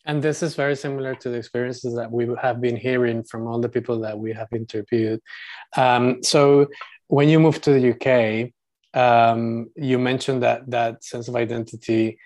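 A man's voice: medium pitch, steady tone, moderate pace, darkish tone. Hesitant male podcast interviewer. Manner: hesitant, many fillers while framing questions. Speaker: podcast host. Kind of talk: interview questions.

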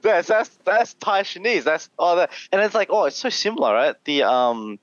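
A man, a podcast guest, chatting casually in an animated way, laughing often and mimicking others playfully. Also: sits high, moderate pace, no room sound, dull audio. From Australia. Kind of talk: casual chat.

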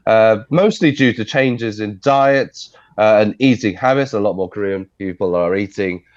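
A man briefs the news from a video call, his voice congested from a cold. The audio is dry and slightly dull, and he explains at an even, unhurried pace, filling gaps with uh and um. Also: fairly low pitch, dry recording. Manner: steady explanatory delivery with frequent uh fillers. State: congested, stuffy nose. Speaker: news correspondent.

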